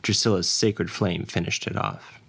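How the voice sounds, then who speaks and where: A man's voice: sits low, steady tone, normal speed, gravelly, a bit bright, slightly rough. A man narrating a scripted fantasy adventure story as its dungeon master and storyteller. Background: faint ambience and music.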